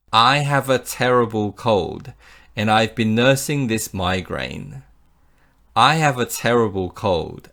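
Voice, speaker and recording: A man teaching English in a slow, deliberate way. His pitch sits a little low but moves a lot as he stresses words, and he says each example sentence clearly for the listener to repeat. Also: a bit bright. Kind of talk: slow scripted English lesson.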